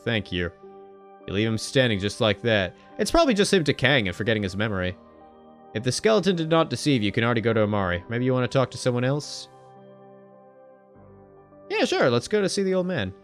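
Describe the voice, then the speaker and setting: A man's voice: medium pitch, big pitch swings, fairly quick, pauses often, soft background music. A man streaming a visual novel, reading its text aloud with wry, joking asides. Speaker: gaming streamer.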